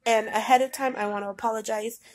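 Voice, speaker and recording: A congested woman talks through her groceries at home, her nose stuffed up from a cold. She rambles in a relaxed, chatty way with plenty of ums, in a fairly high voice. A faint hiss sits under the phone recording. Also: fast talker. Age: adult with a daughter. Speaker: vlogger. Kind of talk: casual grocery haul vlog. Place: home, indoors. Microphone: phone camera pointed at groceries.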